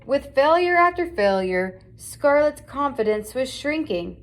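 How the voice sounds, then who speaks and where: A woman's voice: high-pitched, very animated, measured pace. A woman reading a children's picture book aloud as scripted narration. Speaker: storybook reader.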